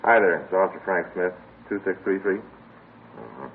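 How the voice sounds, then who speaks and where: A man playing a steady, businesslike police officer in a 1950s radio drama, on a tinny old broadcast. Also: low voice, creaky, slight background noise, exaggerated voice. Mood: brisk. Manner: brisk, businesslike.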